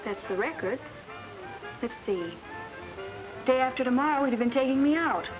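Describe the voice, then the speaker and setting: An actress playing a lovesick, puzzled young American sweetheart of a soldier in a 1940s film. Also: female voice, high-pitched, expressive, normal speed. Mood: puzzled, wistful. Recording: muffled 1940s film soundtrack, music behind.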